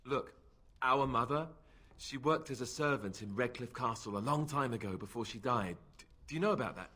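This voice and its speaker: An actor playing an awkward, earnest, boyish young warrior in a video game, hesitant and prone to nervous babbling. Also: male voice, medium pitch, moderate pace, sharp tone. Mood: awkward, hopeful.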